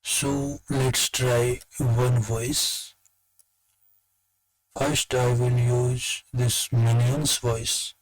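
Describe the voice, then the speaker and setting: A man walks through a software demonstration in a plain instructional manner. His delivery is very slow and his intonation stays flat, at a medium pitch. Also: rough recording. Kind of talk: step-by-step tutorial narration.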